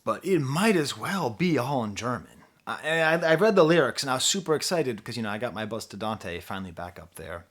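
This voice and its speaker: A man reviewing an album straight to camera in an easygoing, off-the-cuff way. He rambles, pokes fun at himself and swings between excited bursts and mumbled asides. His voice sits at an ordinary middle pitch. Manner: animated, rambling, self-deprecating. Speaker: music reviewer.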